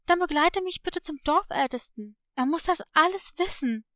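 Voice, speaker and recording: Storybook role: stubborn young animal girl, voiced by a woman, high voice, blunt, determined. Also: lively intonation, normal speed, sharp tone, no room sound, clean studio sound. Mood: urgent, questioning. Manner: brusque, determined.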